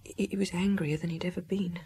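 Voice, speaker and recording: An actress playing a grieving, bewildered young French wife in a radio drama, halting, breathy and tearful. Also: female voice, fairly low pitch, steady tone, warm tone. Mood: distressed.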